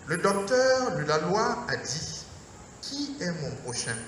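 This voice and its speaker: Man priest, high voice, slow measured sermon phrasing, heard from afar in a reverberant hall. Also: sing-song, fairly bright tone. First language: Mooré. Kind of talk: Lenten lecture sermon. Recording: echoey hall from far off, faint noise.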